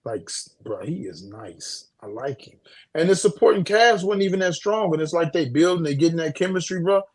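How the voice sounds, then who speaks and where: Husky american guy, voice a bit high, podcast sports banter, repeats himself to make his point. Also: lively intonation, fairly quick. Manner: emphatic, repeats phrases, slangy. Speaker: podcast co-host. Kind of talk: casual sports chat.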